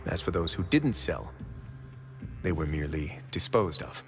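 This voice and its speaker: A man playing a composed, brooding duke who runs a fortress, speaking gravely and deliberately in video game dialogue. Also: deep voice, animated, music in the background. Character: composed, brooding adult male fortress duke with dark past.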